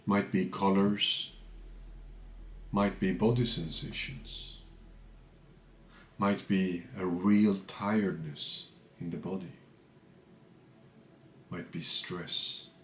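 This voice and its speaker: A man guiding a meditation as a teacher, calm and measured, speaking in short phrases with pauses between them. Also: low voice, roomy sound. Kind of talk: guided meditation, unscripted spiritual talk.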